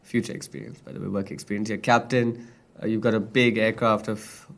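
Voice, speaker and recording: A man's voice: fairly low pitch, level tone, fairly quick. A young man hosting a casual podcast, playful and often laughing. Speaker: podcast host.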